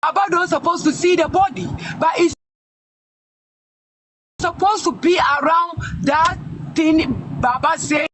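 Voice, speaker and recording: A man talking heatedly on a live stream, often shouting and pressing his points hard. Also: extremely high pitch, steady tone, unhurried, pauses often, slight background noise, poor audio. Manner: shouting, emphatic.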